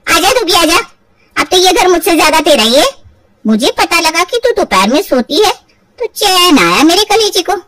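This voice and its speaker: A woman's voice: very high-pitched, big pitch swings, normal speed, far from the mic, background noise, voice pushed up. A woman voicing an accusing, sarcastic, overdramatic married daughter in an acted storybook. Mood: sarcastic, complaining. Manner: sharp-tongued, dramatic, sarcastic. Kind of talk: acted Hindi audio storybook.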